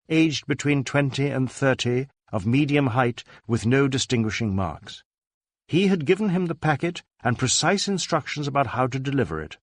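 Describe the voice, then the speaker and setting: A man reading a story as a scripted audiobook narrator, in an expressive storytelling manner. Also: mid-range voice, no room sound, clean studio sound.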